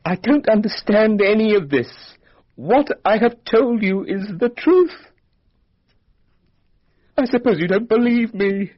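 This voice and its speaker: An actor playing a young, flippant British aristocrat who is a defensive murder suspect in a radio drama. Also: male voice, high voice, expressive, measured pace, pauses often. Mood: shaken. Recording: dull, band-limited radio drama recording.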